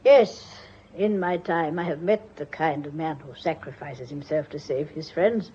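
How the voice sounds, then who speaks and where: Shrewd accusing woman, husky voice, deliberate dramatic delivery, radio drama, muffled recording. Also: sits low, dark tone, heavily acted. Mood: quietly accusing. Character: shrewd, accusing married woman confronting a killer.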